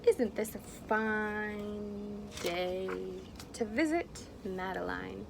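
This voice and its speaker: A woman's voice: medium pitch, drawn-out words. A woman voicing an anxious, caring schoolmistress in a storybook, with dramatic, solicitous delivery. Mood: cheerful. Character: anxious, caring schoolmistress watching over little girls. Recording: clearly noisy background.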